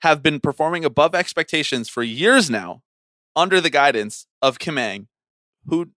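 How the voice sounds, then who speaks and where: A man's voice: fairly high pitch, animated, moderate pace, sharp tone, no echo. A man co-hosting a casual sports podcast, telling stories in a wry, opinionated way. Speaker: podcast co-host.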